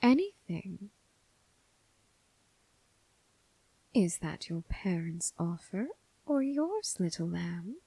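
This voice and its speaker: A woman playing a commanding, teasing, coolly amused mob boss in an ASMR roleplay, husky and breathy, speaking with deliberate, condescending pauses. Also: very animated, dark tone, no room sound, exaggerated voice, low-quality recording. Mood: teasing, seductive. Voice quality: husky, breathy, low, creaky. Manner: slow, deliberate, purring condescension with drawn-out pauses. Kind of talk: ASMR roleplay, scripted character acting.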